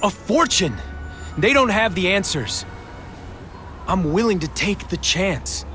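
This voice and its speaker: Game drama: desperate young man of wealth, high voice, curt and embittered, faint music. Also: lively intonation, slow. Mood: bitter, desperate. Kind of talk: video game drama acting.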